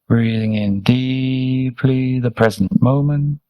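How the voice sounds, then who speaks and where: A man leading a guided meditation as a teacher, speaking gently and soothingly in short, unhurried instructions with pauses. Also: medium pitch, dry recording, lo-fi sound. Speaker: meditation teacher, Buddhist monk.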